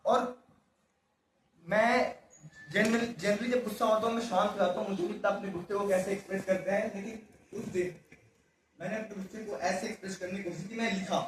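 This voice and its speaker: A man from Kolkata giving an earnest, halting personal account to an audience, slipping into English at times. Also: sits high, steady tone. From Kolkata, India. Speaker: writer and director of the play. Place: stage venue, speaking to an audience. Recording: echoey, distant mic, faint noise.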